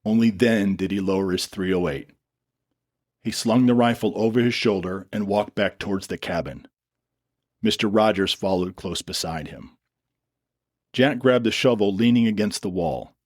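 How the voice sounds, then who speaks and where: A man with a fairly low voice narrates a dramatic story. He reads from a script in the role of storyteller. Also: moderate pace, no room sound, clean studio sound.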